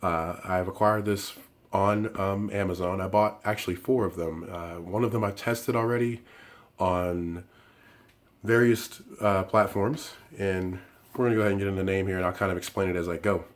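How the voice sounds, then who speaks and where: A man with a low, deep voice that sounds hoarse and worn from illness. He talks through a gadget unboxing in a loose, rambling way, full of ums and restarts, like a channel host chatting to his viewers. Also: steady tone, normal speed. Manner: rambling, lots of fillers and restarts. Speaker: tech reviewer and channel host. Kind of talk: casual unboxing and review talk.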